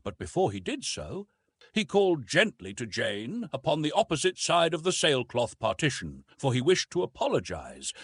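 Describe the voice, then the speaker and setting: Expressive male storyteller, lively pitch, slightly husky. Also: dry recording, clean studio sound. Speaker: audiobook narrator. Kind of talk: scripted narration of an adventure novel.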